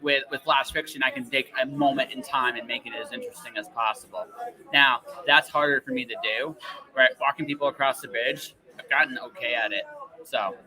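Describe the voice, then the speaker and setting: A man's voice: medium pitch, a little fast, low-quality recording. Rambling man podcasting over crowd chatter. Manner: rambling, emphatic, often checking with "right?". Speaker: podcast host. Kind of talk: casual interview chat.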